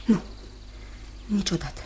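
An actress playing a sweet, earnest, unhappy young fiancée in a Romanian radio play, speaking tenderly and hesitantly. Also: female voice, dark tone, slight background noise, lightly acted. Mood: gentle, resolute. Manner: tender, hesitant, repeats phrases, seeks agreement. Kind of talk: Romanian radio theatre acting.

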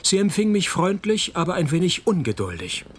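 An actor playing a wry, cynical private detective who narrates a radio play with dry, deadpan, laconic delivery and a husky voice. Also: male voice, high voice, brisk, slight background noise. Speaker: detective and first-person narrator.

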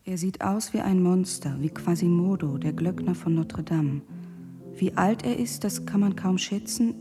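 A woman's voice: fairly low pitch, close to an everyday voice. A woman playing an enraptured letter writer narrating in a German radio drama, intimate and literary. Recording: guitar music under the voice.

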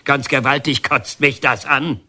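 A banned painter in a radio play, bitter and defiant. His voice is bright and forceful with a husky edge. He speaks with biting sarcasm and sharp emphasis. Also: mid-range voice, fairly even intonation, measured pace. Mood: quietly defiant. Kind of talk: German radio drama acting.

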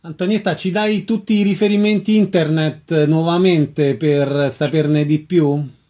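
The sound is a little dull and lo-fi. A man with a fairly high voice speaks as a radio interviewer. He puts his questions in a measured, prompting manner. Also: fairly even intonation, dark tone.

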